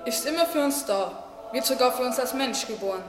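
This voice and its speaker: A woman's voice: fairly high pitch, lively intonation, fairly quick, soft background music, natural voice. A woman playing a cheeky, vain female butterfly in a German radio play. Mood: earnest. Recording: distant echoey hall, faint noise.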